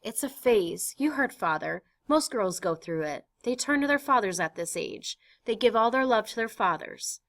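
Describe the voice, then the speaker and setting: A woman's voice: a little high, lively intonation, normal speed, hardly any pauses, a bit bright, pitched above the performer's own voice. A woman reading a stage play aloud and voicing a sensible teenage older sister in a reassuring, matter-of-fact way.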